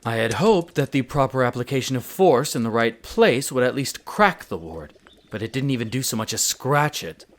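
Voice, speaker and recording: A man's voice: mid-range voice, animated, close to an everyday voice. A man playing a proud, prickly winter elf archaeologist who talks formally and haughtily in a scripted audio roleplay addressed to the listener. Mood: frustrated. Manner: haughty, formal, exasperated, theatrical pauses.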